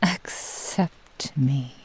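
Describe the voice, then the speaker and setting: A woman voicing a possessive, seductive fox-spirit witch in a whispered ASMR roleplay, teasing and menacing in a breathy, husky murmur. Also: rumbling low, exaggerated voice, poor audio. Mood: soothing, domineering. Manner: slow, teasing, purring menace.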